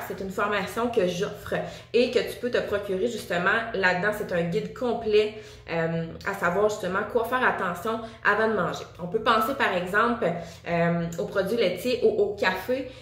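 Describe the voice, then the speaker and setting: A woman's voice: medium pitch, a bit bright, echoey room. A woman with a Quebec French accent, a singing teacher and vocal coach, giving a chatty, upbeat video lesson straight to the viewer. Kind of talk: casual video lesson to camera.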